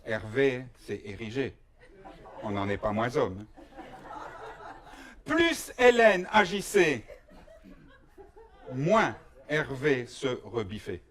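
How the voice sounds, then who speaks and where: Slow, deliberate male stage comedian. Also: medium pitch, wildly swooping pitch, fairly bright tone. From Belgium. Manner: mock-serious, deliberate delivery with pauses for effect. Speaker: stand-up performer. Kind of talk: live comic stage monologue.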